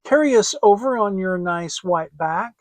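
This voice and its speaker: Storybook little girl, acted by a man: high, earnest, pleading, gentle-hearted, unhurried. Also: animated, no room sound. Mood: hopeful. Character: frightened but clever little girl, earnest and kind. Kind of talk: dramatic fairy tale reading.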